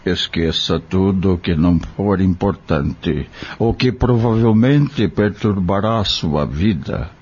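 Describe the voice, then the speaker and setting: A man playing a kind, devout country doctor in an audio drama, speaking solemnly and gently, like a fatherly preacher. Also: low-pitched, husky, dull audio, exaggerated voice. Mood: gravely advising.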